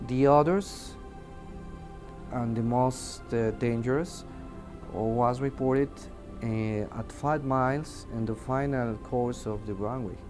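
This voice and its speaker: A man with a Mexican accent, a native Spanish speaker, giving testimony in an interview and haltingly searching for words in his second language. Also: medium pitch, steady tone, over music. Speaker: air traffic controller giving testimony. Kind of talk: interview testimony, unscripted recounting.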